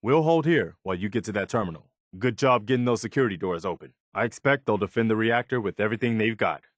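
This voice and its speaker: A man playing an earnest, weary and idealistic militia officer in a post-apocalyptic video game, speaking in a measured way. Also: on the low side, animated, no echo, clean studio sound. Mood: alert, focused. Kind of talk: video game character dialogue.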